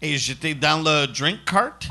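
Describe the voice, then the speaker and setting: A man's voice: fairly high pitch, lively intonation, takes it slowly, vocal fry, sharp tone. A man speaking French with an English accent, a comedian guest who slips into English and swears often. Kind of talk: casual podcast banter.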